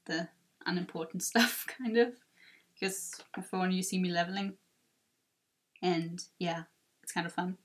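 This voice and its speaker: A woman hosting a let's play, chatting casually and offhand in a rambling way over a game. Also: lowish voice. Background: video game music.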